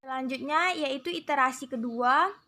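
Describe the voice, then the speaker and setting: A woman's voice: sits high, normal speed, pushed and bright. A female student explaining the steps of a numerical method carefully, one step at a time. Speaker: student presenter.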